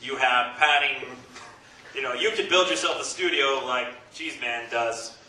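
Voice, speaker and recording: A man's voice: mid-range voice, unhurried, sharp tone. A man talking casually as a panelist on a convention panel. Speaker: panelist and amateur voice actor. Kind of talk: casual, chatty panel talk. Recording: echoey room, distant mic, noisy background.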